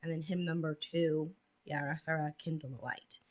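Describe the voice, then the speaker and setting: Chatty young woman hosting, lower voice. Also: steady tone, normal speed, darkish tone. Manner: chatty, asks questions, laughs often. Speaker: podcast host. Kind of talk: casual religious discussion.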